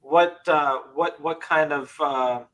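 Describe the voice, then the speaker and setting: Male co-host, 30s, bright forceful voice, animated casual panel talk. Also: fairly high pitch, takes it slowly. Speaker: podcast co-host.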